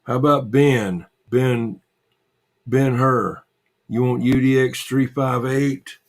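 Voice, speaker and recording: Slow-talking man chatting casually on a livestream. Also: mid-range voice, steady tone. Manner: halting, repetitive, muttering asides to himself. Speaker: livestream host. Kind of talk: casual livestream chat with viewers.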